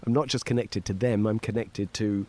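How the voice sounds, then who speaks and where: A man's voice: fairly low pitch, fairly even intonation, moderate pace, darkish tone. A middle-aged man from Portugal, a podcast guest, talking casually and rambling with frequent laughs. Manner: rambling, laughs often, playful. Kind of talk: casual conversation.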